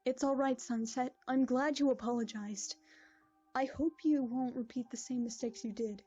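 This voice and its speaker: A woman voicing a calm, kindly princess who speaks in a measured, formal way. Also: high voice, husky, muffled recording.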